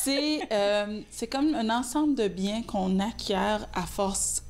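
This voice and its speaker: Woman notary, slow careful explaining, faint hiss. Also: mid-range voice. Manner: hesitant, self-correcting. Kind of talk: interview answers.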